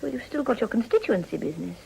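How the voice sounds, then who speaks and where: Casting: a proud but fragile young British wife, higher voice with creaky edges, emotional drama. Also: female voice, big pitch swings, quick pace, dark tone, full character voice, low-quality recording. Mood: remorseful. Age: young adult. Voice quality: breathy, creaky.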